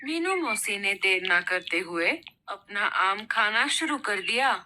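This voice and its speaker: A woman's voice: medium pitch, lively intonation, a little slow, pushed and bright. A woman narrating a children's cartoon story from a script. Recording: noticeable background noise.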